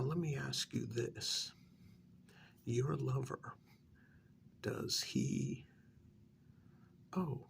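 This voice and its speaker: A performer softly playing a patient, probing official in an ASMR role-play, speaking in a measured, gently reproachful half-whisper. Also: mid-range voice, full character voice. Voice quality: soft, breathy half-whisper. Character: patient, probing official questioning a renegade vampire.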